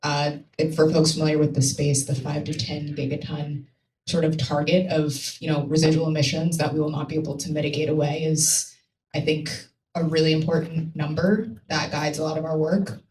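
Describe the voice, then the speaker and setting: A woman's voice: deep voice, flat delivery, moderate pace, a little mellow. A woman explaining things conversationally as a guest on a panel, with casual asides. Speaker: panel guest, climate company employee. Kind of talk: casual spoken panel talk. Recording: echoey room, distant mic, faint noise.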